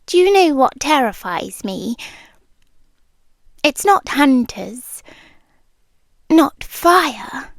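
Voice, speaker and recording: Actress as ancient female monster, British, possessive and lovelorn. High, very slow, intimate ASMR delivery, often whispered, close on mic. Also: no echo, exaggerated voice. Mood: wistful, yearning. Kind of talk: yandere roleplay ASMR. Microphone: close microphone for headphones.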